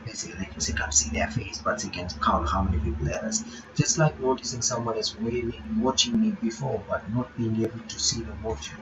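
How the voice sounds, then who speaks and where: A man casually reading a visual novel aloud and commenting as he goes, thinking aloud in a halting way. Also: lowish voice, recorded from a distance, highs cut off, background noise. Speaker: game reaction streamer.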